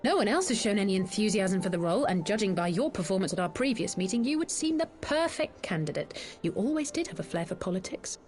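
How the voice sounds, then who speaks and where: An actress playing a sharp, haughty young woman in a video game, curt, impatient and sardonic. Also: medium pitch, big pitch swings, fast, hardly any pauses, faint music behind, lightly acted.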